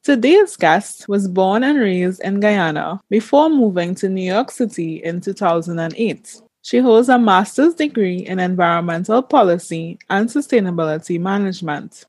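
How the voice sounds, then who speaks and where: A woman hosting a podcast, reading a measured prepared introduction and asking her guest questions. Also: medium pitch, a little mellow, no room sound. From Caribbean. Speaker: podcast host.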